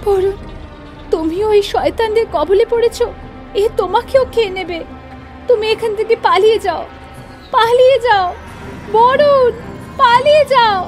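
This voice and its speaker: A young woman's ghost from a horror cartoon, put on by a man. The voice is extremely high, shrill and urgent with distress. Faint music plays behind it. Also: measured pace, long pauses. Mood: urgent fear. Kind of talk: dramatized horror story for cartoon.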